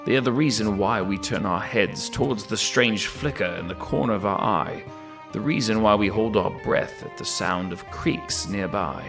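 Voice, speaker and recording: An actor playing a scholar voicing his own warning letter in an audio drama, formal and ominous. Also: on the low side. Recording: distant voice under clear music.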